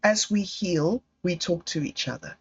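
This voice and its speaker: A woman hosting a livestream, talking slowly and candidly in a meandering personal monologue. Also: fairly low pitch, expressive, breathy edge. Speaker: livestream host. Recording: dull lo-fi livestream audio.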